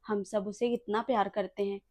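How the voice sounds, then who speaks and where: A woman voicing a domineering older mother-in-law in a family drama skit, cutting and loud when she scolds. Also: mid-range voice, fairly even intonation, moderate pace, lightly acted. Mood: sad, soft.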